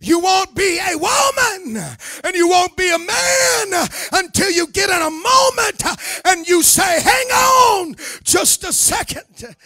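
A middle-aged American man preaches with a very high, gravelly voice. His delivery is forceful and emphatic, stacking repeated phrases in an impassioned church sermon. He speaks close into a handheld microphone, with a bright, hard edge to the sound. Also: lively intonation, slow, no room sound. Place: indoor church meeting room, at a pulpit. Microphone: handheld microphone held close.

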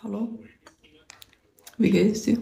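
A young woman in her twenties from Ukraine, with a Ukrainian accent, ranting casually on a livestream in halting, broken German, often asking "weißt du?". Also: on the high side, fairly even intonation, vocal fry, mellow, a little hiss or hum. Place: indoor room with a sofa. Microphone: phone at arm's length. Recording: roomy phone recording.

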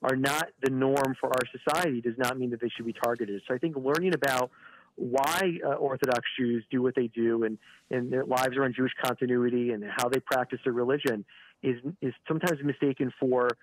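A man giving a live news interview by phone, halting and repeating words, often saying "you know". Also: medium pitch, fairly even intonation. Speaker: regional director of an advocacy organisation, interview guest by phone. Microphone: telephone. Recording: phone call with clear background noise.

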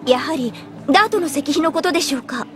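A woman's voice: high-pitched, expressive, quick pace, over music. A woman playing a polite young princess with elegant, formal ladylike speech in an anime audio drama. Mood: elegant, curious. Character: refined, well-bred young princess, polite and curious.

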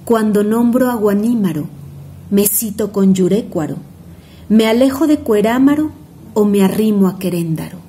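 A woman's voice: medium pitch, moderate pace, slight background noise. Mexican woman reciting poetry expressively. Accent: Mexican Spanish. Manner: expressive, rhythmic, savoring each word. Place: home room indoors.

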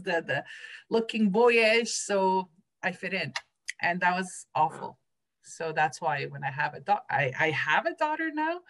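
A woman's voice: lowish voice, a bit bright. A woman teacher sharing personal experiences with emphasis in a group discussion on a video call. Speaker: teacher, former engineering student.

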